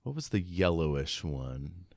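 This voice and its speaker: Slow-paced, low-voiced man chatting on a podcast. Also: very animated, vocal fry, a little mellow, no echo, clean studio sound. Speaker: podcast co-host. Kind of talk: casual podcast chat.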